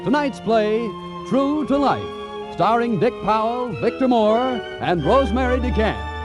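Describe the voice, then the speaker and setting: A male announcer proclaims a vintage radio show. His voice is high and sweeps widely in pitch, with a grand manner. Loud music plays along on the old broadcast. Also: sing-song, a little slow, low-quality recording.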